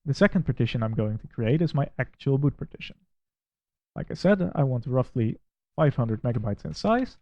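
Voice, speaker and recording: A man's voice: medium pitch, animated, normal speed, no room sound, highs cut off. Dutch-accented man, methodical and critical. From Netherlands. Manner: critical, methodical, plainly spoken. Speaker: tech reviewer. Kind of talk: screen-recorded software walkthrough.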